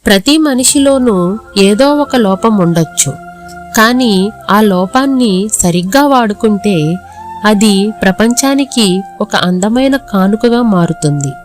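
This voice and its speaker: A woman's voice: mid-range voice, expressive, slow pace, soft background music. A woman reading scripted narration for a moral story as its storyteller.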